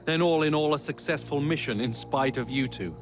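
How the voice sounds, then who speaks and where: Stern, higher-pitched male mentor, acted by a man. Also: fairly quick, background noise, close to an everyday voice. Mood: stern, dismissive. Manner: stern, formal, admonishing. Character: stern, cautious male senior assassin, scolding mentor. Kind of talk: video game cutscene acting.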